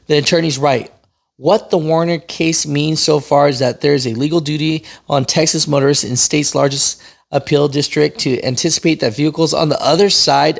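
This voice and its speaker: A man's voice: a little high, vocal fry. A man hosting a news channel, reading and explaining in a casual, opinionated, conversational way. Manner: conversational, opinionated, often checks in with "right?" and "you know". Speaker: host of a trucking news channel.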